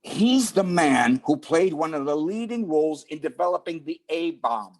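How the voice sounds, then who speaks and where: A man's voice: on the high side, very animated, normal speed. A man playing a police lieutenant in a 1940s-style radio drama, teasing and a little condescending. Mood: explaining. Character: male police lieutenant, wry, fond and patronizing.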